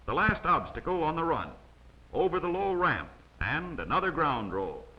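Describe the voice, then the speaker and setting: A man reading scripted instructional narration in a measured way, as the narrator of a training film. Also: a little high, sing-song. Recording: dull, noisy old 16mm film soundtrack.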